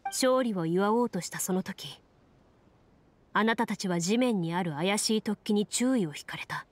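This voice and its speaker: A woman's voice: medium pitch, expressive, slight background noise, close to an everyday voice. Woman playing a composed, solemn game master. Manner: measured, solemn storytelling. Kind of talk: scripted narration.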